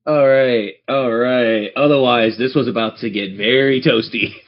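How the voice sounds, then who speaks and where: A man's voice: medium pitch, unhurried, muffled recording. A man running a tabletop game as game master, narrating scenes descriptively and speaking straight to his players. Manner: descriptive, addresses players directly.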